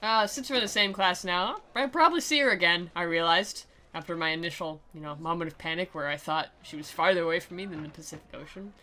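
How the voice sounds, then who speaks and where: A woman's voice: fairly low pitch, fast, hardly any pauses, pushed and bright. A woman giving sarcastic commentary while reading a visual novel aloud in a mock-dramatic way. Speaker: game commentator and reader.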